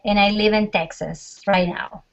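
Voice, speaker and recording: A woman's voice: medium pitch, fairly even intonation, unhurried. A woman from Chile presenting for a student group over a video call, hesitant and restarting often. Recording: lo-fi online call audio.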